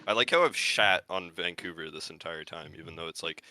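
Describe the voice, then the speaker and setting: A man's voice: low voice, fairly quick, bright, forceful tone, faint music behind. A young man from Canada, telling stories as a podcast guest in a rambling way full of "like" and "um". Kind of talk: casual chat.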